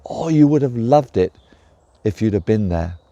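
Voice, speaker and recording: Excited female dragon voiced by a man, gushing, higher pitch, mellow dry tone. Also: normal speed, dry recording, dull audio. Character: enthusiastic young female dragon sister.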